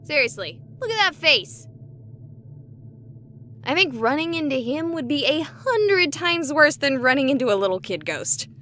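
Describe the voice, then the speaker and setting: A woman playing an excitable, chatty young streamer exploring a haunted place in a visual novel, with an expressive, playful delivery. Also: high-pitched, measured pace, a little hiss or hum, exaggerated voice. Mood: dread, exasperated.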